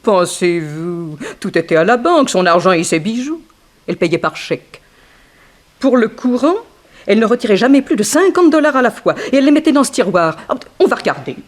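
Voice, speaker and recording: Woman acting a lively, frank young dancer. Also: medium pitch, a little fast, close to an everyday voice. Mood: matter-of-fact. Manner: theatrical, expressive, gossipy. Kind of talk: early 1960s French radio mystery acting.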